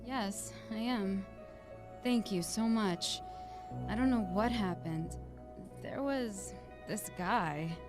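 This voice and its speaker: A woman's voice: mid-range voice, takes it slowly, over music, close to an everyday voice. An actress playing a troubled, vulnerable young woman in a video game cutscene. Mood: relieved, shaken. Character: dazed, lovesick young woman under dark influence, then shaken.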